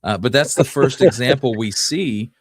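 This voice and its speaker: A man's voice: medium pitch, lively intonation, normal speed, no echo. A man hosting a history show, telling Wild West stories in a casual, folksy, conversational way. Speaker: podcast host telling history.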